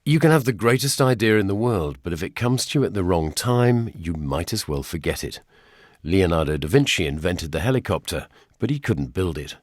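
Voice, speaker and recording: A man's voice: low voice, lively intonation, fairly quick, no echo. A man with a British accent presenting a scripted radio documentary in a wry, dryly humorous way. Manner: wry, dry humour.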